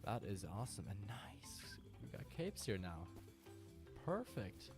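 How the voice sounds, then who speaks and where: Male gamer, deep voice, casual live-stream chatter, music bed behind. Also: unhurried. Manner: relaxed, chatty, talks to viewers by name. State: tired from little sleep. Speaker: game streamer. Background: music playing.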